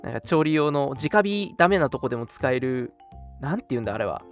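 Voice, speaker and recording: Fast-talking, animated young man chatting casually. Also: mid-range voice. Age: young adult. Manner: agreeable, chatty, frequent backchannels. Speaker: radio show co-host. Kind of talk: casual chat. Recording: music bed under the talk. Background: background music.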